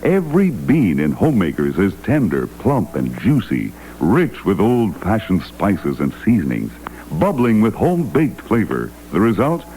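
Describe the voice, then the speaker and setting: Male American commercial announcer, 1960s style, mellow, hugely varied intonation. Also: on the low side, wildly swooping pitch, a little slow, faint music behind, low-quality recording. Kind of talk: 1960s radio advertising pitch.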